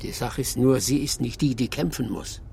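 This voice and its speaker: A man playing an unflappable, laconic character in an audio drama, speaking tersely, drily and calmly. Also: medium pitch, moderate pace, vocal fry, a little hiss or hum, close to an everyday voice. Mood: calm, dry. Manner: terse, dry, calm. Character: laconic, unflappable man leading a risky errand. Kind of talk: German audio drama dialogue.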